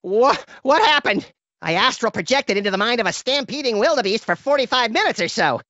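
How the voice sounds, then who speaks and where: A man voicing a hyperactive, gleefully violent little rabbit vigilante in a cartoon video game, impish and theatrical, swinging between glee and mock-innocent deadpan. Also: extremely high pitch, fairly quick, dry recording, muffled recording, full character voice.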